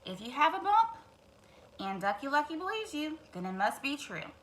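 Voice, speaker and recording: Woman acting a curious, bright-voiced male turkey. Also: sits high, very animated, moderate pace, slight background noise, rough recording. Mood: convinced. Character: curious male turkey, skeptical but easily convinced.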